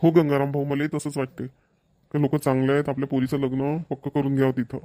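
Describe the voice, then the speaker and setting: A man's voice: mid-range voice, little pitch movement, fairly quick, warm tone, lightly acted. A man voicing an earnest village father in a homemade cartoon, speaking colloquial, emphatic, repetitive Marathi with a Vidarbha accent. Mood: agreeable, decided. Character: earnest village father arranging his daughter's marriage.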